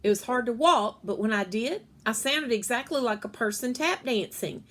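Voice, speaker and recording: A woman's voice: on the high side, expressive, normal speed, a bit bright. Woman reading a children's book aloud. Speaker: read-aloud storyteller.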